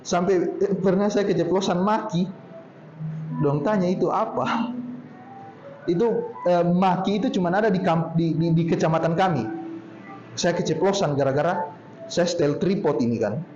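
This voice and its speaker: A man from Poso in Indonesia with a regional Poso accent, speaking casually to a group in a relaxed storytelling way and drawing out his words. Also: high voice, over music. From Poso, Central Sulawesi, Indonesia. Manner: chatty, drawn-out storytelling tone. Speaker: speaker at a talk. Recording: roomy, dull lo-fi sound with faint ambience.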